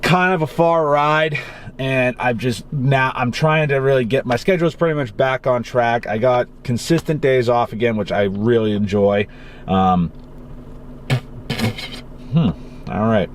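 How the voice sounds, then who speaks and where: A man's voice: mid-range voice, a little slow, a little hiss or hum. Rambling Massachusetts guy in a car. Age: young adult. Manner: rambling, casual, swears freely. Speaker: cigar reviewer. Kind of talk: casual vlog chat. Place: in his car on a rainy day.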